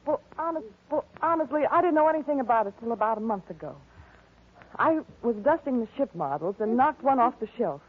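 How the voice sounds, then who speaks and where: A woman's voice: a little high, lively intonation, fairly quick, husky. An actress with an American accent playing a distressed young woman, earnest and pleading, in 1940s radio drama style. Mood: earnest, explaining. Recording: muffled, tinny old radio recording.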